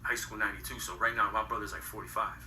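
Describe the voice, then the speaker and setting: A man's voice: lowish voice, steady tone, quick pace, pushed and bright, reverberant, distant mic, slight background noise. A man in his thirties from Connecticut, telling his story in a rambling, casual way full of slang. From Connecticut, USA. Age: 30s. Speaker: guest. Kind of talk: casual interview.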